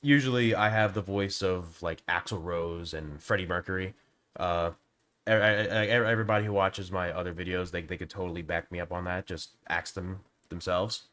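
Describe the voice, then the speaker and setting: A man with a sore throat, sounding hoarse as he gives rambling, casual opinions on a video game, often restarting his sentences. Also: low voice, steady tone, normal speed, fairly bright tone. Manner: rambling with frequent restarts and stammers. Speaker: gaming youtuber. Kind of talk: casual opinion commentary to camera.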